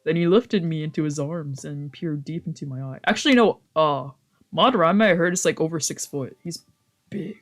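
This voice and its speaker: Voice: mid-range voice, normal speed, soft background music. Streamer informal y malhablado, de tono medio. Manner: slangy, swearing. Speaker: streamer playing a visual novel. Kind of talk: casual livestream chat and reading game text aloud.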